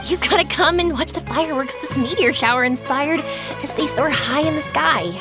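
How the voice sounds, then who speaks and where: A woman voicing a cheerful, warm-hearted young fireworks maker in an anime-style game, effusive and expressive. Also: high voice. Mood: warm, encouraging. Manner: effusive, expressive, drawn-out emphasis, giggly. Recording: background music under the voice.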